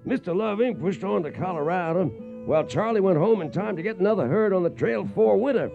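Brief: folksy trail-hand narrator, high lively voice, guitar music behind, radio drama. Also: male voice, a little fast, gravelly. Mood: folksy, reflective. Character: folksy cowboy storyteller recounting trail days. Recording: dull old radio recording.

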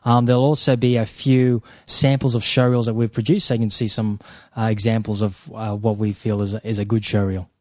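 A man co-hosting a podcast, speaking in a measured, hesitant way with fillers and repeated words. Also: lowish voice, flat delivery, no echo. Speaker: podcast co-host. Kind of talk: casual podcast talk.

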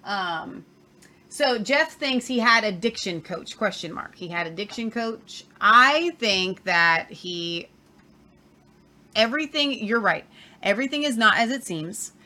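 Bright-voiced woman reading viewer comments. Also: mid-range voice, animated, measured pace. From Southern Ohio. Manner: reads out comments, playfully argumentative. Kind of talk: casual chat.

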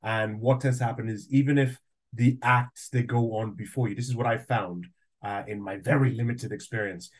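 A man's voice: medium pitch, steady tone, moderate pace. A man who grew up in Nigeria, an aspiring comedian interviewing a guest with enthusiasm and a joking tone. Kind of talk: casual conversational interview.

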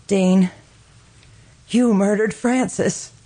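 A woman's voice: medium pitch, steady tone, extremely slow, dark tone, poor audio. A woman playing a guilt-stricken, distraught woman in an old-time radio drama, earnest and melodramatic. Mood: accusing, intense.

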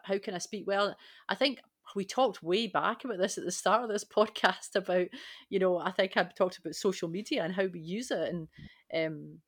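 A woman on a discussion panel, speaking conversationally in an earnest, reflective way. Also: lowish voice. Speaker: podcast panellist.

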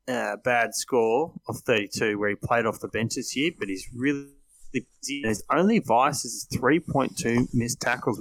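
A man's voice: medium pitch, a bit bright, no echo, poor audio. An Australian man giving casual sports team analysis as a podcast panellist.

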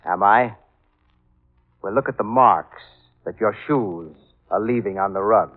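An actor playing a cultured, smug murderer who gloats coolly, in the mannered style of old radio drama. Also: male voice, lowish voice, a little slow. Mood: triumphant. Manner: suave, mannered, condescending. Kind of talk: 1940s radio drama acting. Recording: tinny vintage radio recording.

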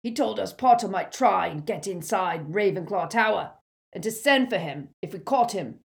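A woman's voice: medium pitch, lively intonation, unhurried, voice pushed up. A woman voicing a brutish, hot-tempered male wizard thug who talks in coarse dialect. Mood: crafty. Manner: loud, coarse dialect speech.

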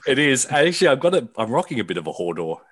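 A man with a booming voice, chatting and laughing in relaxed podcast banter. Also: fast, over a noisy crowd. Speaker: podcast co-host. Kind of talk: casual banter among friends.

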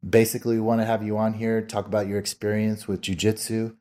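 A man's voice: fairly low pitch, little pitch movement, quick pace, warm tone. A man hosting a podcast, talking casually with frequent fillers and restarts. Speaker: podcast host. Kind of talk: casual interview chat.

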